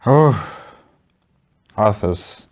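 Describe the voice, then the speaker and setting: A man's voice: fairly low pitch, lively intonation, very slow, dull audio. A man hosting a reaction video, giving casual commentary and thinking aloud in a hesitant, reflective way. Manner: hesitant, reflective, repeating words.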